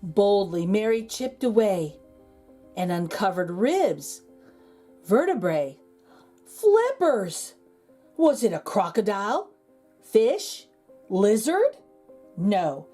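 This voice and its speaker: A woman reading a children's picture book aloud as a storyteller, expressive, with dramatic emphasis and pauses. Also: medium pitch, breathy edge, soft background music. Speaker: read-aloud host and storyteller.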